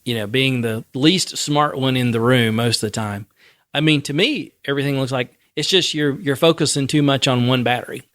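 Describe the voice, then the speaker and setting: A man's voice: medium pitch, a little fast, a bit bright, dry recording, clean studio sound. A man hosting a podcast in casual chat, self-deprecating and joking, often laughing. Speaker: podcast host.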